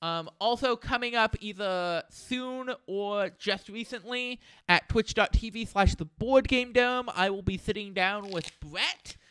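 A man's voice: high-pitched, unhurried, hardly any pauses, sharp tone, clear, resonant tone, dry recording. A man hosting a podcast, talking in a chatty, informal, rambling way. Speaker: podcast host. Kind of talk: casual podcast chat.

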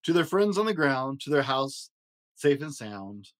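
A man hosting a story podcast, reading a rhyming children's picture book aloud in an expressive, rhythmic way. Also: mid-range voice, a little slow, slight background noise. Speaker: podcast host and story reader.

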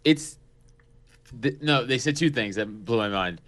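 A man's voice: mid-range voice, animated, a little slow, fairly bright tone. A man chatting casually on a podcast, blunt and emphatic, swearing constantly.

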